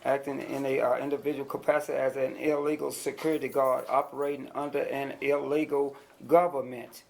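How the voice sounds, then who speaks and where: Insistent man objecting in flat tones. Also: medium pitch, fairly quick, vocal fry. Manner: insistent, repetitive, interrupting. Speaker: defendant at an arraignment. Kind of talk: objecting in a court hearing. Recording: noisy courtroom recording.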